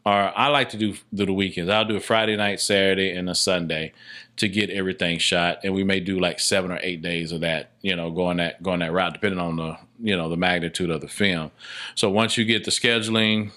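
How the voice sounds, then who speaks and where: A man's voice: low voice, fairly even intonation, fairly quick, sharp tone. A man in casual podcast conversation, telling stories emphatically and often repeating his phrases as an interview guest. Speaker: guest, indie filmmaker and casting director.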